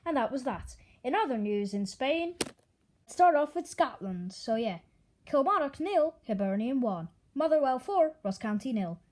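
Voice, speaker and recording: A woman's voice: high-pitched, very animated, a little slow, darkish tone. A young woman with an American accent, originally from Italy, reading out football results in a casual, offhand way with dry asides. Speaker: sports news presenter.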